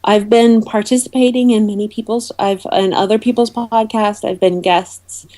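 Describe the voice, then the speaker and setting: A woman speaking as an interview guest, explaining things informally in a halting way with many ums. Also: medium pitch, fairly even intonation, dark tone. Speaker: interview guest, awards organiser.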